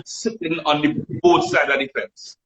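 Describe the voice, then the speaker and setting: Trini guy cohosting, lively bright voice, roomy echo. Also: on the high side. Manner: slow, hesitant questions with "um". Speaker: co-host. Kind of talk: casual live chat.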